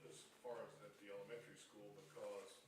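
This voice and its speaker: A soft-spoken man giving a halting police report to a town council, away from his microphone. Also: on the low side, little pitch movement. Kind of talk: spoken report at a public meeting. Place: council meeting room. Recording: echoey room, voice far from mic, faint noise.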